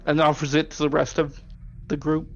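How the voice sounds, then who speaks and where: Creaky-voiced man running a tabletop game. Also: a little high, monotone, moderate pace, highs cut off, a little hiss or hum. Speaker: game master. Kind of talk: casual tabletop game narration.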